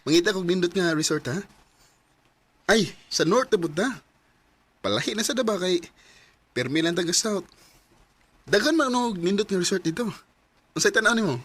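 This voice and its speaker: A man's voice: sits high, moderate pace, long pauses, a bit bright. A man playing a breezy, eager boyfriend in a casual skit. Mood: cheerful, casual.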